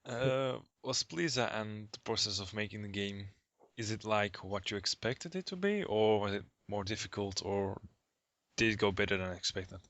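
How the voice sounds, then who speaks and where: A man's voice: fairly low pitch, animated, moderate pace, a bit bright, no echo, dull audio. A man working as an interviewer, asking casual, unscripted questions.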